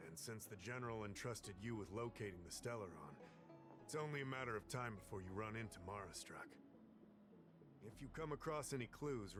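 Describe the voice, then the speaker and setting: Guy voicing polite fantasy game official, lowish voice, formal n earnest, music going behind him. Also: close to an everyday voice. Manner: formal, courteous, measured. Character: polite, earnest male civil servant of a fantasy realm. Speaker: game character, government official. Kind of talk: scripted video game dialogue.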